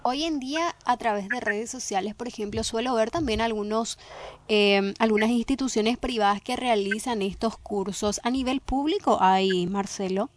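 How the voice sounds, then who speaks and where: A female radio co-host, giving measured introductions and questions. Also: a little high. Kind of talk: radio interview.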